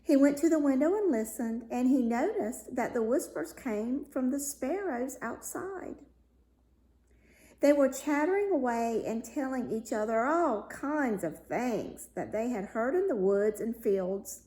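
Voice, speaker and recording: A woman reading a fairy tale aloud as a storyteller, with deliberate, dramatic phrasing. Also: fairly high pitch, expressive, warm tone. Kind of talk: expressive read-aloud fairy tale narration. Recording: roomy, echoey room sound.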